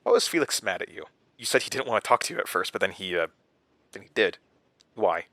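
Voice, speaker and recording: Anxious male questioner, halting, stammering, pressing, bright tense voice, naturalistic audio drama. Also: deep voice, expressive. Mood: uneasy questioning. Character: anxious, halting man questioning a witness closely.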